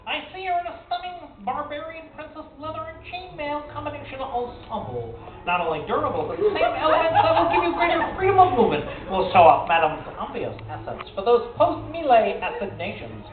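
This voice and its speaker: Casting: actress as catty robot tailor for comic radio play, grandly theatrical, big swoops, captured thin and distant in a live hall. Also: female voice, high voice, big pitch swings, fairly quick, hardly any pauses. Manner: haughty, dramatic, sprinkles in French phrases. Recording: echoey, tinny, distant live hall with noise.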